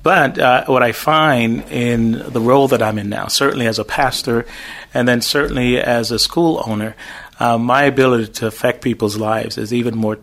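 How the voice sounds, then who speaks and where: A man from Jamaica whose heavy Jamaican accent colors every phrase. He speaks as an interview guest, measured and deliberate, and now and then repeats a word with a faint stutter. His pitch sits in an ordinary middle range. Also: fairly even intonation, fairly bright tone. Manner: measured, thinks through words, occasional stutter. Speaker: pastor and school owner.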